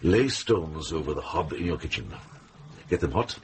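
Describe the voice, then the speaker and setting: An actor playing a shrewd, authoritative monk healer in a period radio drama, briskly questioning and giving orders. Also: male voice, extremely low pitch, lively intonation, slight background noise. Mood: assessing, authoritative. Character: shrewd medieval monk healer and investigator, commanding.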